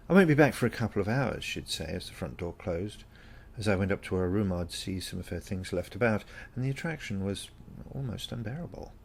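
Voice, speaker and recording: Low, creaky-voiced man reading a story. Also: a little hiss or hum. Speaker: story reader. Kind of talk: scripted first-person story narration.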